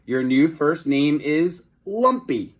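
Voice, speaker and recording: Man doing a gloating, raised-pitch mad professor villain. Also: animated, takes it slowly. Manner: commanding, mockingly gleeful.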